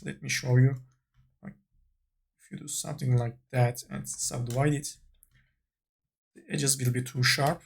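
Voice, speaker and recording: Slow, husky man teaching software. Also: mid-range voice. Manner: tentative, thinking aloud while working. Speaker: 3D modeling tutorial instructor. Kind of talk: unscripted software tutorial narration.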